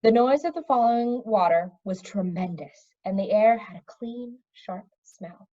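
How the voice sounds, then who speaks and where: A woman reading a fantasy story aloud in an expressive storytelling manner. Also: medium pitch, a little slow, muffled recording. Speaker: audiobook reader. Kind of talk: reading a children's fantasy novel aloud with character voices.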